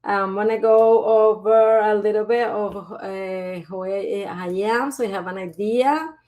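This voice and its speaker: A woman's voice: medium pitch, steady tone, unhurried, darkish tone. A woman from Venezuela, introducing herself and her art cheerfully in a live online presentation. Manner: cheerful, self-introducing, light laughs. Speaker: artist presenting her work.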